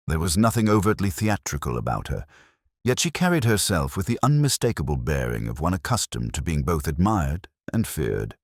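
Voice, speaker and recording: A man's voice: deep voice, no room sound, studio quality. A man reading a scripted story aloud as an audiobook narrator.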